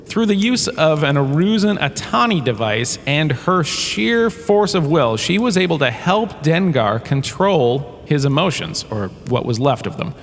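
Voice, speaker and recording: A man playing a washed-up holodrama star who lectures in a comedic audio drama, chatty and wry, with theatrical storytelling. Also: a little high. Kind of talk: comedic scripted lecture narration. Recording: long reverberant hall, faint ambient noise.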